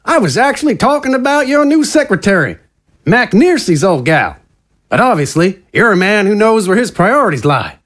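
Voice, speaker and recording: An actor playing a slick, glad-handing conservative politician in an audio drama, with a folksy, smooth insider's manner. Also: male voice, high-pitched, sing-song, moderate pace, fairly bright tone. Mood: chummy, insinuating.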